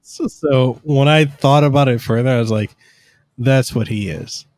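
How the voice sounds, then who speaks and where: A man's voice: medium pitch, normal speed, a little mellow, no room sound. Man rambling casually on a podcast. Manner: loose, rambling explanations with fillers. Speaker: podcast co-host. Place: home studio room. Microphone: studio mic on arm, headphones.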